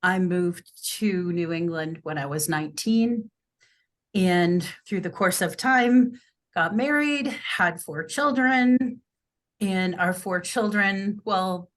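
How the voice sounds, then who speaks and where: A woman's voice: medium pitch, a bit bright. A middle-aged woman from the US Midwest, a real estate agent introducing herself on a podcast in a friendly, upbeat way.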